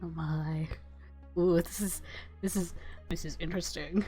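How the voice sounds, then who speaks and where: Female let's player, lower register, unhurried, offhand comments over a game with faint music underneath. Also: steady tone, dark tone. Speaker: let's play host. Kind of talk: casual reactions over a game.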